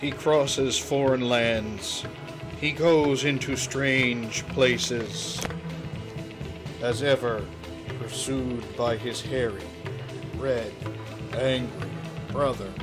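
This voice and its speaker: A performer putting on an overdramatic, deadpan narrator in a scripted comic adventure spoof, speaking slowly and portentously. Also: mid-range voice, over loud music.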